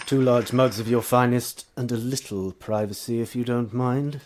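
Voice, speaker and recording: A man playing an earnest Victorian doctor with a dark, suave side, in a husky voice, in stage melodrama style. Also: mid-range voice, fairly even intonation, moderate pace, noisy background, lightly acted. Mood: suave, predatory. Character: exhausted earnest male doctor devoted to science; also his suave alter ego.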